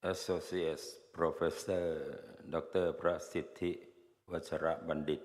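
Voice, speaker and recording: A man reading formal salutations aloud at a ceremony, halting phrase by phrase. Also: rumbling low. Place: large hall at a ceremony. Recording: reverberant hall.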